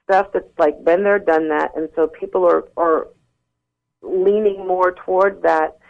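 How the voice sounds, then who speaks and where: A woman rock singer speaks frankly and earnestly down a phone line, often restarting her sentences. Her voice has a gravelly fry. The sound is thin and narrow-band like a telephone. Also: fairly low pitch, steady tone, a little slow. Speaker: rock singer and interview guest. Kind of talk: candid phone interview.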